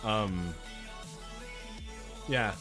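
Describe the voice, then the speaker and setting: A man's voice: fairly low pitch, extremely slow, over music. A young man streaming games, chatting casually and rambling with his viewers, his throat strained and his voice wearing out. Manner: rambling, restarts often, talks loud and a lot. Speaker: game streamer. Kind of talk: casual chat with live chat viewers.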